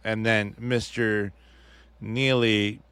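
Hesitant low-voiced man, smooth and dry. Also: level tone, extremely slow, fairly bright tone, no room sound. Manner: hesitant, restarts often, hedges his opinions. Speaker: podcast co-host. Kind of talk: casual podcast chat. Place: podcast studio.

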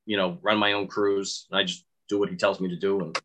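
A man's voice: deep voice, level tone, a little fast, bright, forceful tone, a little hiss or hum. A man with a thick Boston accent, a sprinkler fitter guest chatting bluntly on a podcast and telling stories about work. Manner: blunt, storytelling, quotes himself. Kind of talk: casual podcast chat.

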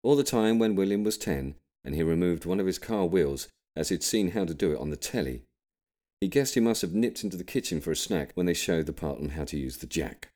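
Very deep-voiced, animated British male storyteller. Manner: expressive storytelling. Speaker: audiobook reader. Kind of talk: scripted comic narration.

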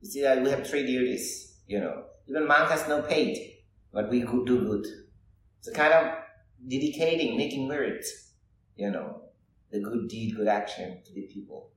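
Echoey far-off monk, halting speech. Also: male voice, medium pitch, lively intonation, a bit bright, slight background noise. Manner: halting non-native English, often adds "you know". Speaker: Buddhist monk and meditation teacher. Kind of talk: conversational interview answers. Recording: roomy echo, far from the mic, faint ambience.

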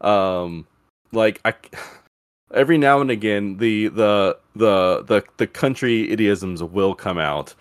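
Low-pitched Southern man chatting casually. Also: takes it slowly, smooth, no room sound, clean studio sound. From Alabama, USA. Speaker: podcast host. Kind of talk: casual chat.

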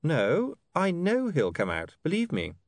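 A man's voice: on the high side, wildly swooping pitch, a little slow, dry recording, studio quality, pitched above the performer's own voice, exaggerated voice. A man voicing a stubborn, nervy young newspaperman in an audiobook, clipped and supercilious. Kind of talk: audiobook character dialogue.

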